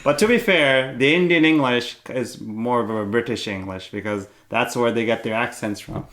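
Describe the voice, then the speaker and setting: A man's voice: medium pitch, moderate pace, fairly bright tone. A young man co-hosting a casual podcast, joking and laughing, often trailing off mid-sentence. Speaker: podcast co-host. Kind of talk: casual chat.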